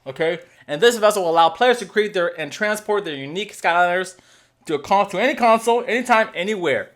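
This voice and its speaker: A man in his thirties recording at a desk in a room at home, explaining a new game to viewers in a casual, animated way with many fillers and restarts. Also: sits high, quick pace. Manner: animated, lots of fillers and restarts, checks in with "okay?"; waves his hands while talking. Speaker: gaming video host.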